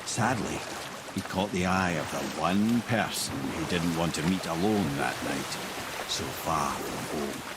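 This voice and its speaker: An actor playing a wise, wry old storyteller with a Scottish accent and a theatrical, lilting delivery in a video game. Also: male voice, deep voice, measured pace, very noisy. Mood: dramatic, wry.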